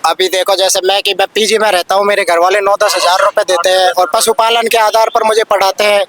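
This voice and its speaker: A man's voice: high voice, flat delivery, brisk, over loud music. A young man in his twenties, an exam aspirant at a street protest, complaining earnestly about a cancelled recruitment. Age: 20s. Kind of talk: street interview.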